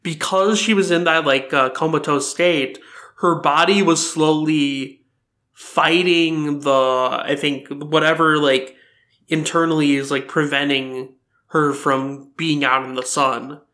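The sharp, slightly high-voiced guy at a fan roundtable podcast who explains how it all fits together. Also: fairly even intonation, takes it slowly. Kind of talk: casual fan discussion.

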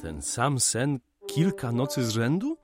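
A man's voice: medium pitch, huge pitch swings, unhurried, over music. A man playing a practical, teasing geometer and concerned friend in a radio play, with a wry, matter-of-fact, friendly manner. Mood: concerned, curious. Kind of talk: Polish radio play acting.

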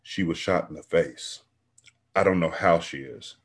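A man voicing a protective bodyguard who breaks hard news in a quiet, firm way. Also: low-pitched, unhurried. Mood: serious.

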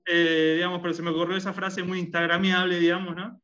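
Rioplatense male guest, bright, slightly high voice, halting, lots of fillers, dull lo-fi sound. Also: level tone, a little hiss or hum. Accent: Rioplatense Spanish. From Argentina and Uruguay. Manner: hesitant, many fillers and restarts. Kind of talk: conversational interview.

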